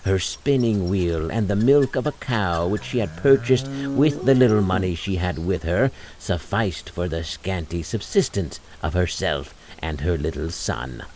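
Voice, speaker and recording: A man narrating a scripted fairy tale as storyteller, dramatic and measured. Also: low voice, a little mellow.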